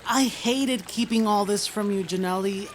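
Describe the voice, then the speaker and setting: A woman plays an earnest young heroine who patiently explains and reassures. Her voice has an ordinary middle pitch with a soft hiss of ambient noise. She speaks like an audio drama lead. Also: normal speed, natural voice.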